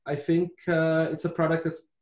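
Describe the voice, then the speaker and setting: A man's voice: a little high, flat delivery, normal speed, darkish tone. A man from Brazil giving casual, matter-of-fact explanations as a podcast guest. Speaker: podcast guest, hardware company founder.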